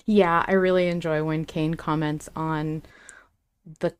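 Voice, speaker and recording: A woman's voice: sits low, little pitch movement, a little slow, clean tone. A woman hosting a casual fan podcast, talking in a chatty, enthusiastic way. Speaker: podcast host.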